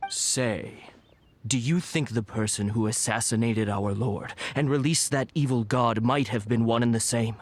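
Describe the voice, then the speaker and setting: A man's voice: mid-range voice, fairly even intonation, unhurried, slight background noise, lightly acted. A man voicing a curious, matter-of-fact townsman in a video game, in a conversational way. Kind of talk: video game cutscene voice acting.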